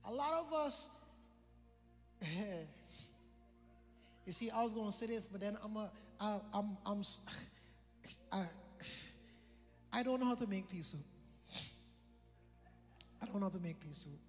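Brief: male preacher, very high voice, chatty and joking, echoing hall with soft music underneath. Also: animated, unhurried, pauses often. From British Virgin Islands. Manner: conversational, joking, repeats phrases for emphasis. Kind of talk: sermon. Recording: long hall reverb with faint music.

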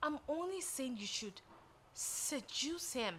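A woman's voice: sits high, lively intonation, slow, a bit bright, a little hiss or hum, exaggerated voice. A woman with a Nigerian accent playing an earnest, scheming wife in dramatic film dialogue. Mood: insistent.

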